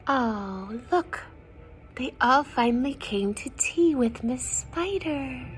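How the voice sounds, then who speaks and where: A woman reading a picture book aloud to young children, expressive and gentle, often dropping into hushed asides to her listeners. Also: high-pitched, slow, faint music behind. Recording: some background noise.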